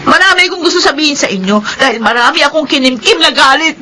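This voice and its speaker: A woman's voice: high voice, animated, quick pace, bright, forceful tone, slight background noise. A woman acting a kind but wounded working wife in a Filipino radio drama, with an emphatic, emotional delivery and a Filipino accent. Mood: angry, accusing. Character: kind working wife Meli, bitter toward her uncle.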